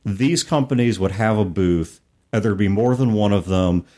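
A man's voice: fairly low pitch, normal speed, mellow. A man co-hosting a casual gaming discussion, explaining things with rhetorical questions and asides. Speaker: podcast co-host.

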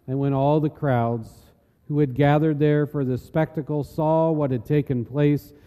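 Very dark-voiced man reading scripture. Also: fairly high pitch. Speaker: narrator and scripture reader. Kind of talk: solemn dramatic scripture reading. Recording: long reverb of a large hall.

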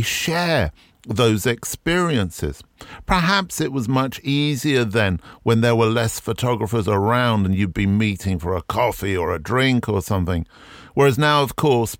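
Low-voiced guy hosting a podcast, chatty and thoughtful, lots of ums, dry close sound. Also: dry recording. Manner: conversational, reflective, with frequent ums. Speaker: podcast host. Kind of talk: casual podcast monologue. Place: garden shed.

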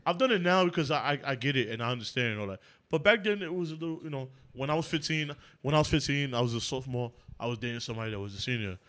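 A man's voice: mid-range voice, rapid-fire, hardly any pauses, fairly bright tone. A man in his late twenties chatting casually on a group podcast, slangy and insistent, often talking over others. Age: late 20s. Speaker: podcast panelist. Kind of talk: casual heated group chat.

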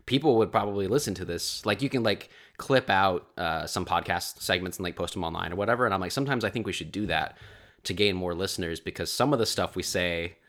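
A man's voice: low voice, fast, fairly bright tone. A man co-hosting a podcast in casual chat, easygoing and supportive, with jokes. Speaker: podcast co-host.

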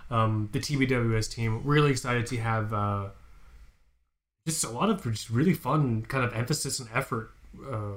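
A man's voice: medium pitch, moderate pace. A man co-hosting a podcast in casual chat, hyped and profane as he plugs the website. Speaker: podcast co-host.

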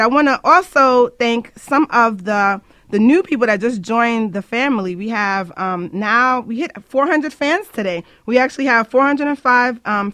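A woman's voice: a little high, fairly bright tone, no room sound. A woman hosting an informal internet radio show, chatting to listeners in an upbeat, emphatic way.

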